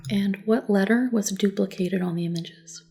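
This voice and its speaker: A soft, breathy woman gently giving calm, reassuring instructions in an ASMR roleplay, often dropping to a whisper. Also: medium pitch, moderate pace, soft background music. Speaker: ASMR roleplayer as a test examiner. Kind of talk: soft-spoken ASMR personal attention roleplay.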